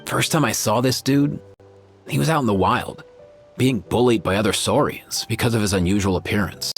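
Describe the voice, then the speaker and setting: A man's voice: on the low side, moderate pace, natural voice. A man playing an easygoing, sincere young creature veterinarian in a video game, speaking in casual slang. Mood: warm, reminiscing. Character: laid-back, caring young male creature veterinarian. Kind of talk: video game character acting. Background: faint music.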